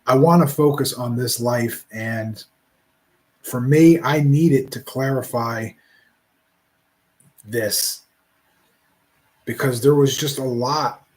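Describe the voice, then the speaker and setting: A man in his forties speaking in a casual reflective monologue, rambling and halting with many restarts. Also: medium pitch, darkish tone. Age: 40s.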